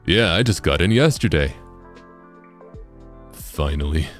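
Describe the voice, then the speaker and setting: A man's voice: very deep voice, lively intonation, a little slow, faint music behind, pitched below the performer's own voice. A man voicing a tired, dry-humoured traveller in a video game, speaking in an understated way. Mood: weary, wry. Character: weary, dry-humoured traveller back from a long trip.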